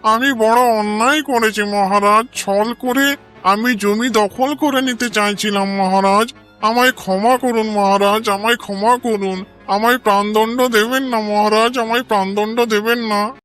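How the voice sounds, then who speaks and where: A man's voice: sits high, steady tone, measured pace, a bit bright, soft background music. A man playing a scheming, cowardly villager in a cartoon folk tale, with a whining, repetitive, pleading manner. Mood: begging. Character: scheming, cowardly villager falsely claiming land.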